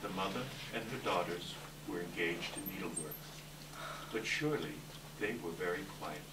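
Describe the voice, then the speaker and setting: Recorded from a distance in an echoing hall with noticeable noise, a low-voiced man narrates a story. His scripted delivery is measured, dramatic and expressive. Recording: distant echoey hall, clear background noise.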